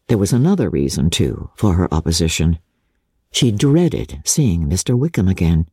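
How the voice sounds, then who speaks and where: Low, mellow woman narrating literature. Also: breathy edge, no echo, studio quality. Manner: measured, expressive storytelling. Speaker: audiobook narrator.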